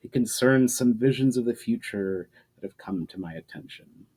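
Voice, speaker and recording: A man's voice: medium pitch, expressive, moderate pace, warm tone, breathy edge. Man playing a venomous, scheming sorceress. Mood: bitter, conceding. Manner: incredulous, spitting out words with spite. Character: spiteful, furious scheming sorceress, proud and venomous.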